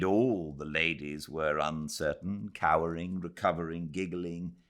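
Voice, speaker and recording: A man's voice: deep voice, fairly bright tone. A man with a British accent narrating a literary novel as an audiobook. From Britain.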